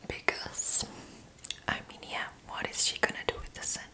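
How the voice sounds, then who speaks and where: A woman in her twenties whispering softly and breathily in a gentle, intimate ASMR roleplay, close to the microphone. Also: normal speed. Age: 20s. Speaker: ASMR video creator playing a roommate. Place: small indoor room.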